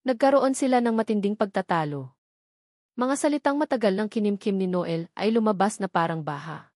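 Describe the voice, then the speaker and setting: A woman's voice: medium pitch, animated, a little fast, darkish tone, dry recording. Dry-sounding woman narrating dramatic fiction. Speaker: audiobook narrator. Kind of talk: scripted dramatic story narration.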